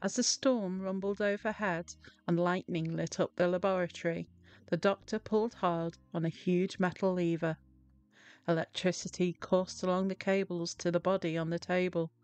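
A woman narrating a children's storybook in a deliberate, expressive way. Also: lowish voice, no room sound, faint music behind. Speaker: storybook reader.

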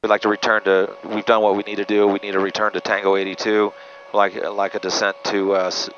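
Low-voiced pilot on a muffled headset. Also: male voice, monotone, fairly quick, fairly bright tone, a little hiss or hum. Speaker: turboprop pilot. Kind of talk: explanatory cockpit talk and checklist callouts. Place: small turboprop cockpit in flight. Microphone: aviation headset boom mic. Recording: muffled aviation headset audio, engine drone.

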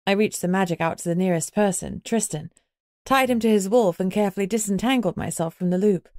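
A woman's voice: mid-range voice, a little fast, a little mellow, no room sound, studio quality. A woman reading scripted first-person audiobook narration.

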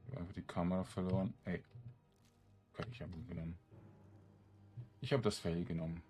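A man's voice: sits low, fairly even intonation, fairly quick, a little mellow, soft background music. A man giving casual let's play commentary, hesitantly thinking aloud as he plays. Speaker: gamer, let's play host.

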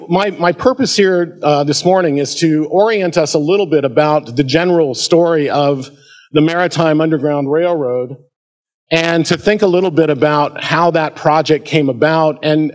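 Male history lecturer, middle-aged, American accent, voice a little higher than average, addressing a conference audience. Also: a little mellow. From American Midwest. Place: auditorium at a museum, at a podium.

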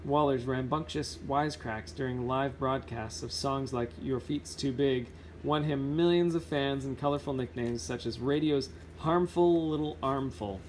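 A man's voice: mid-range voice, normal speed, a little mellow, slight background noise. A man reading a children's picture book aloud informally, now and then stumbling and correcting himself. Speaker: read-aloud host.

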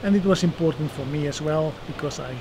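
Man, 60s, South African accent, fairly high voice, noisy outdoor terrace interview. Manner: measured, dry self-deprecating humor. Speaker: eye surgeon guest. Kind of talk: seated interview answers. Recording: outdoor noise behind voice.

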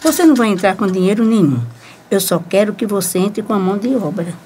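A woman's voice: medium pitch, lively intonation, fast talker, dark tone, slight background noise, lightly acted. A woman playing a confident, persuasive seamstress with a Brazilian accent in a radio soap opera.

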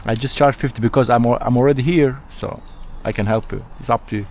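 A man's voice: medium pitch, quick pace, darkish tone. A man from Turkey with a Turkish accent, a locksmith chatting informally with a customer and often repeating himself. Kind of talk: casual chat with a customer. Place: on a job at a customer's vehicle. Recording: noisy on-the-job recording near vehicles.

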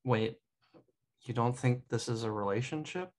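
A man's voice: medium pitch, normal speed, darkish tone, lightly acted. An American man playing a casual, defensive buddy in a sitcom table read. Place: dim indoor room, on a video call. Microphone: video call microphone.

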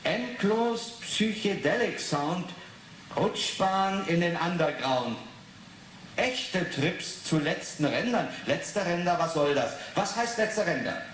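Curt police inspector, roomy sound. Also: male voice, high-pitched, big pitch swings, a bit bright, heavily acted. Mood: suspicious, reading aloud. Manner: brusque, probing, slow deliberate reading. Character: curt, suspicious male police inspector questioning a witness. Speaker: police investigator. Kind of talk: 1980s German radio play acting. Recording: roomy, faintly noisy 1980s radio drama.

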